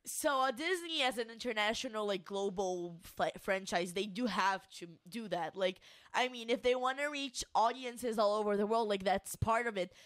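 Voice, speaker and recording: A woman's voice: mid-range voice, normal speed, few pauses, dry recording. A woman from Brazil whose first language is Portuguese, co-hosting a casual podcast chat in a loose, chatty way with many restarts. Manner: chatty, restarts often with fillers like "like". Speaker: podcast co-host.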